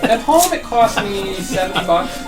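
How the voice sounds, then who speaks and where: Man, thirty, casual storyteller, lively voice, loud game music behind. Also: high-pitched, moderate pace. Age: 30s. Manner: storytelling, chatty with many fillers. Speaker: friend on a charity game stream. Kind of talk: casual chat, telling a story.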